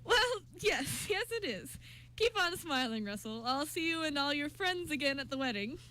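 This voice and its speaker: Frank, affectionate, teasing council woman acted by a woman, high voice, fantasy radio drama. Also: animated, normal speed, sharp tone. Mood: fond. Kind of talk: amateur radio drama reading.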